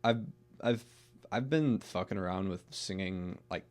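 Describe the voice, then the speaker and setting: A young man with a deep voice chatting casually as a podcast guest, rambling with restarts and swearing. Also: measured pace, darkish tone. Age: young adult. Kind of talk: casual chat.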